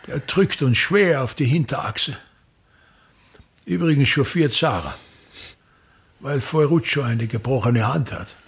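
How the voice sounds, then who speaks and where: Radio drama: grandiose, sour middle-aged stage impresario, gravelly and husky, forever complaining. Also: male voice, mid-range voice, heavily acted. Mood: grumbling. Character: pompous, disdainful, self-pitying male touring theatre impresario. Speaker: actor playing a travelling playwright-actor. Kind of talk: theatrical radio drama monologue.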